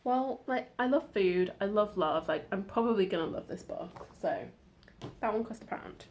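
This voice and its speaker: A woman's voice: medium pitch, expressive, moderate pace, darkish tone, slight background noise. A woman from the United Kingdom, a book vlogger in casual chat, talking through her finds in a chatty, rambling way full of side notes and fillers.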